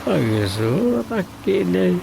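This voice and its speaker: Slow, gravelly village man. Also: high-pitched, big pitch swings, music in the background, full character voice, rough recording. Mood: musing. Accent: Ilocano. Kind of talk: Ilocano radio comedy drama acting.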